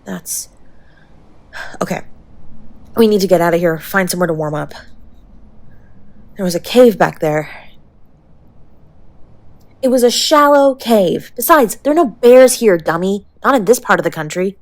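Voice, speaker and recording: A woman playing a tough, sharp-tongued young woman who is secretly tender, in an intimate roleplay audio drama spoken to the listener. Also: mid-range voice, expressive, unhurried, long pauses. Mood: brusque, concerned. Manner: brusque, bossy and teasing with urgent pleading.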